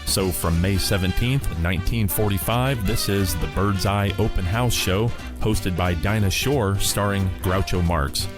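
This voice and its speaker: Male radio host, low register, scripted introductions over a clear music bed. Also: a little slow.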